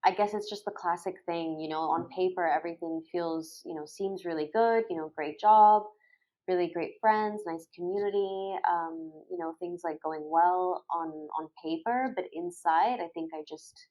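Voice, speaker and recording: A woman's voice: lowish voice, moderate pace, warm tone, rough recording. A young woman from Egypt and Thailand telling her story informally as a guest, often tossing in "like" and "you know.". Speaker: podcast guest, storyteller and photographer. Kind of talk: casual conversational storytelling.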